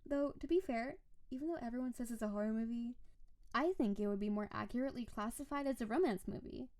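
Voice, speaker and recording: Casting: woman to play a yandere snow-spirit wife for intimate roleplay audio; high, soft-toned voice, sweet and clingy with quiet menace. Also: fast talker, lightly acted. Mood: dreamy, obsessive. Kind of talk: intimate scripted roleplay audio addressed to the listener.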